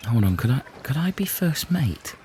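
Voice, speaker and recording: A man's voice: mid-range voice, very animated, normal speed, a little mellow, slight background noise, close to an everyday voice. A man playing an eager, obedient first mate in a comedy audio drama.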